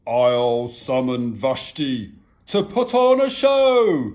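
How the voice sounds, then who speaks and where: A man's voice: medium pitch, big pitch swings, slow pace, darkish tone, pitched below the performer's own voice. A man voicing a pompous, grand king of Persia in a rhyming children's puppet show. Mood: commanding. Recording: music behind the voice.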